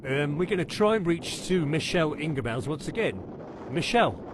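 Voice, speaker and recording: A man playing a TV news anchor covering a storm disaster, halting and stumbling over his words. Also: sing-song. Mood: grave, halting. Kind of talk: amateur mock breaking news report. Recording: noisy, windy background rumble.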